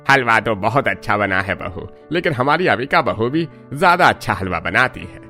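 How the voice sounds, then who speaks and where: Bright, forceful father-in-law, played by a man. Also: on the low side, very animated, moderate pace, soft background music, heavily acted. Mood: approving. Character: appreciative elderly father-in-law, head of the household.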